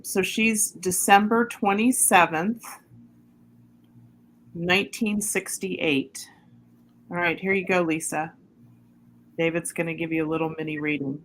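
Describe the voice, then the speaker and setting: A woman's voice: low-pitched, fairly bright tone, a little hiss or hum. A woman hosting a livestream over a video call, hesitant and full of ums as she waits for viewer comments. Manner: hesitant, many ums while waiting for comments. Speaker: livestream host.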